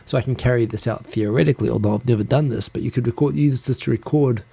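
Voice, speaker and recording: Man, New Zealand accent, dark mellow tone, rambling self-deprecating chat, close handheld mic. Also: medium pitch, gravelly, breathy edge. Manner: rambling, self-deprecating, talks fast with restarts. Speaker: livestream host. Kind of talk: casual solo live chat. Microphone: handheld USB recorder mic close. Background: computer fan hum.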